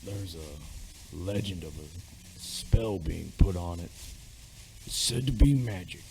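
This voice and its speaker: A man's voice: low voice, takes it slowly, gravelly, a little mellow, soft background music, heavily acted, low-quality recording. A man playing a reluctant middle-aged sergeant major in an amateur radio play, speaking hesitantly in old-fashioned phrases. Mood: guarded, ominous.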